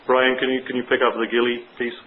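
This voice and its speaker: A male company executive explaining business plans in a measured way on a conference call, often repeating words as he goes. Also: mid-range voice, completely flat delivery. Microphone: telephone. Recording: noisy, narrow telephone conference line.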